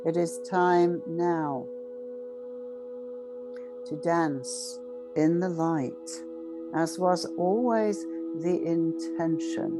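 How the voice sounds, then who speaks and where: A woman with a slightly low voice leads a guided meditation at an extremely slow pace. She speaks solemnly, like a ceremonial invocation, leaving long pauses between short phrases. Meditative music with singing bowl tones plays clearly behind her.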